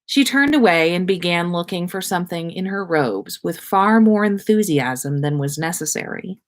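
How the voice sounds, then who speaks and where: A woman's voice: lowish voice, expressive, normal speed. A woman narrating a story aloud as a podcast host reading for listeners.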